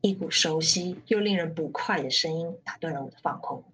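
A woman voices a jaded squad member telling a grim story. The voice is fairly low and detached, in radio drama narration with faint noise behind it. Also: a little fast, fairly bright tone.

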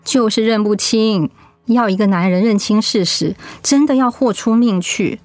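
A middle-aged wife and mother, played by a woman in a radio drama, proud and stubborn. She talks bluntly with a sardonic edge at a medium pitch. The sound is close and dry. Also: moderate pace, a little mellow, dry recording, faint music behind, lightly acted. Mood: bitter, resigned.

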